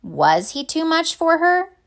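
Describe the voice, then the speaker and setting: Expressive, slow-paced woman reading a storybook. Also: extremely high pitch. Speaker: story reader. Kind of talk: children's picture book read-aloud.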